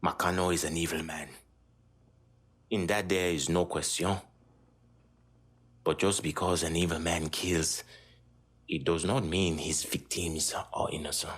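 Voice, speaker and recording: Deep, husky Haitian gang boss. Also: male voice, steady tone, a little slow, long pauses, fairly bright tone, heavily acted. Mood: grim, firm. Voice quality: husky, low voice. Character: grieving, embittered Haitian gang boss, middle-aged man. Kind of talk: dramatic video game dialogue.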